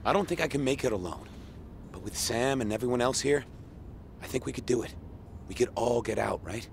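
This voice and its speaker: An actor playing a cocky zombie-apocalypse survivor in a video game, brash, casual and persuasive. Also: male voice, mid-range voice, expressive, a little fast, gravelly, a little hiss or hum. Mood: coaxing.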